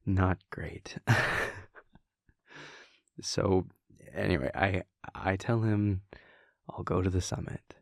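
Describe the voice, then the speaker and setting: A young man playing a laid-back, wry vampire prince boyfriend in an intimate audio roleplay, telling a story in a hushed, halting, amused way. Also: low voice, steady tone, creaky, dry recording, clean studio sound, full character voice. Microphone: close, dry studio microphone.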